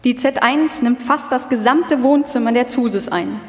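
A woman's voice: a little high, a little slow. Woman, live documentary narration, raised register, scripted delivery, heavy echo. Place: live stage in a reverberant hall. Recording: echoey hall with long reverb.

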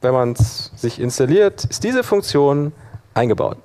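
A man teaching a live software workshop, explaining step by step in an informal spoken style. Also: mid-range voice, big pitch swings, unhurried. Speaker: workshop instructor. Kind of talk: live software demonstration to an audience.

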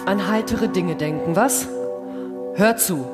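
A man's voice: high-pitched, slow pace. A man playing a tense, sarcastic astronaut fearing for his life in a science fiction radio play, urgent and demanding. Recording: long reverberant hall, music behind. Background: music and sound effects.

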